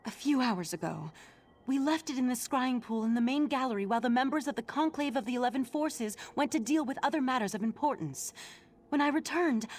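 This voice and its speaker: A woman's voice: a little high, a little mellow, slightly rough. A woman playing an earnest, troubled mage of a scholarly order in a video game, speaking formally and precisely. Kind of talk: scripted video game character dialogue.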